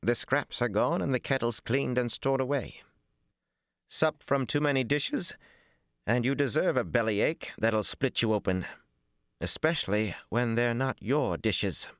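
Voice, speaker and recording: Casting: male narrator as cantankerous, bony scold of a woman, pitched well up, snappish audiobook dialogue, dry recording. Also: a little slow, dry recording, natural voice. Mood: irritated, scolding.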